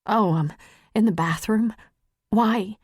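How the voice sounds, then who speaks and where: A female narrator plays an earnest woman lawyer in love, her voice lifted higher and openly emotional. It is a candid audiobook character in a dry recording. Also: slow pace, a little mellow, husky, no echo. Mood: tearful, shaken.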